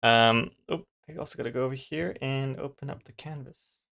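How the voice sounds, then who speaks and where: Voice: medium pitch, normal speed, gravelly, a bit bright. A comic artist from New Zealand with a mixed, not quite New Zealand accent, chatting casually with livestream viewers and thinking aloud in a rambling way.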